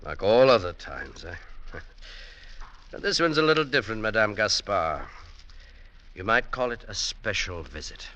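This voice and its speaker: A man's voice: fairly low pitch, big pitch swings, moderate pace, pauses often, pushed and bright, muffled recording. An actor playing a world-weary, secretive widowed businessman, brooding and hesitant, in old-time radio drama style. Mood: wry.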